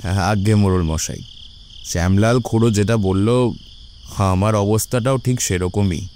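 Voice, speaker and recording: A man plays a village vegetable seller in a cartoon. His voice is fairly low, earnest and respectful, with some resolve. Faint birdsong and insects are heard behind. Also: normal speed, darkish tone, a little hiss or hum, lightly acted. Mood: respectful complaint. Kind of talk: cartoon voice acting.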